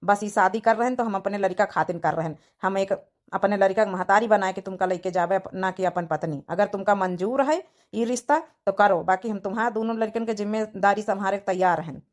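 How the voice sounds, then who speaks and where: A woman's voice: medium pitch, brisk, natural voice. A woman playing an earnest widowed village father in a cartoon drama, plain-spoken, with an Awadhi accent. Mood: earnest, firm. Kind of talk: cartoon comedy-drama dialogue in village dialect.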